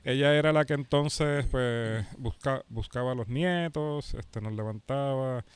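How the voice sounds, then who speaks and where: A man in his forties with a Puerto Rican accent speaks casually, as a guest in a conversation. His voice is smooth and clear, recorded close and dry. He tells things piece by piece, in short phrases. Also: mid-range voice, measured pace, no room sound. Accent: Puerto Rican Spanish. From Puerto Rico. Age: 40s. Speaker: pastor and podcast host being interviewed. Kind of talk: casual conversational interview answers.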